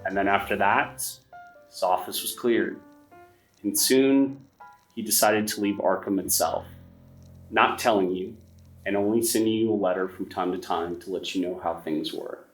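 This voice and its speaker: A lower-voiced man narrates as game master in an unhurried storytelling manner. He sounds a bit far from the mic in a roomy space, with quiet music under him. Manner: measured, storytelling narration with some restarts. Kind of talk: narrating a tabletop horror game. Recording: echoey room, mic at a distance, faint music.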